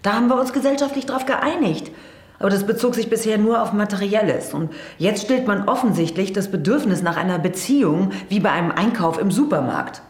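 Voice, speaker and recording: A woman's voice: mid-range voice, lively intonation, a little fast, echoey room, lightly acted. An actress playing a troubled woman writer in a radio drama, articulate, guarded and disillusioned about love. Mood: bitter, agitated. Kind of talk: naturalistic radio drama acting.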